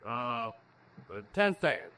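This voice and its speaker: A man's voice: medium pitch, expressive, slow pace, sharp tone, smooth. A man acting in a 1940s radio drama as a confident, matter-of-fact inventor of few words. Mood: confident, terse. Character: terse, confident mad-scientist inventor at work.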